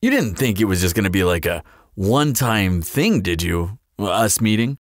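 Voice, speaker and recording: Man acting as sardonic male vampire, audio roleplay, flirtatious and glib, lively swooping intonation, close dry recording. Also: on the low side, normal speed, no echo, lightly acted. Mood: teasing, flirtatious. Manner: teasing, glib, playful drawl.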